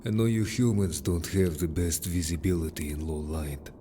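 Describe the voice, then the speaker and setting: Man acting a very deep, stern merman. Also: normal speed, mellow, a little hiss or hum, natural voice. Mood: guarded, businesslike. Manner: curt, grave, distrustful of humans. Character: stern, wary, disapproving merman information gatherer. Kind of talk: audio story character acting.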